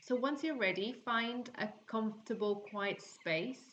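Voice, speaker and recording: A woman teaching yoga nidra, guiding a relaxation meditation in a gentle, soothing, unhurried way with long pauses. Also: a little high, muffled recording, a little hiss or hum. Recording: birdsong and forest sounds behind voice. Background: calming forest nature sounds with birds.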